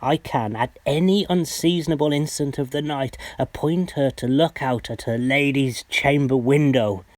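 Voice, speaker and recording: A man acting a sly, cunning henchman in a Shakespearean radio play, deliberate and conspiratorial. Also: medium pitch, darkish tone, dry recording, close to an everyday voice. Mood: sly plotting. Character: sly, cunning henchman plotting slander for pay. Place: home recording.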